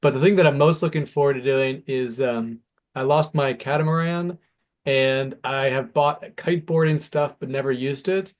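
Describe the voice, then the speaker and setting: A man's voice: medium pitch, steady tone, moderate pace. A man from the United States, an app founder, talking casually on a video call with lots of hesitant fillers.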